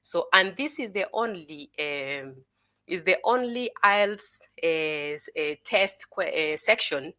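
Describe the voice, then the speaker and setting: Bright-voiced woman tutor, halting explanation. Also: fairly low pitch, animated. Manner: frequent "uh" and "you know" fillers, repeats words, checks with "okay?". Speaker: IELTS tutor. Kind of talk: unscripted teaching explanation.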